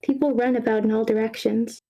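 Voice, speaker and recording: A woman's voice: fairly high pitch, steady tone, brisk, warm tone. A woman reading screenplay action lines aloud as the narrator of a live table read.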